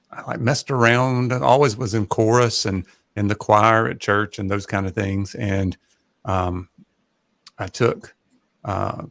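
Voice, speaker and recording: A man, chatting casually as a guest and pausing often with fillers. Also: lowish voice, no room sound, clean studio sound. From North Carolina. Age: 40s. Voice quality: soothing, gravelly. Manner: relaxed, hesitant, many fillers. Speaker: guest, high school math teacher. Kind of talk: casual livestream chat. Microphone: home podcast mic.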